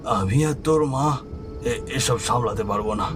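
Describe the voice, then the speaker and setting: A man's voice: mid-range voice, unhurried, close to an everyday voice. A man voicing a weary, resigned aging village father in a cartoon. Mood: sorrowful, resigned. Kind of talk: cartoon voice acting. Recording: loud music behind voice.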